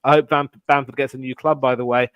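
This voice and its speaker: A man's voice: medium pitch, little pitch movement, quick pace, no room sound, highs cut off. A man co-hosting a casual football chat, rambling through opinions with lots of "you know" and "um".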